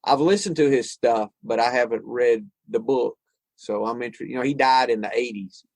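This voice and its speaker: A man's voice: medium pitch, moderate pace, creaky, darkish tone, no echo. Reflective man, dry close sound. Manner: reflective, thinks aloud with frequent restarts. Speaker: podcast co-host. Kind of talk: casual conversation on recovery and spirituality.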